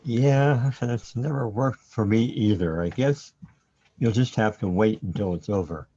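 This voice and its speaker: A man playing a calm, wry metaphysical guide in a radio play, unhurried and matter-of-fact, on a dull lo-fi recording. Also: fairly low pitch, slightly rough.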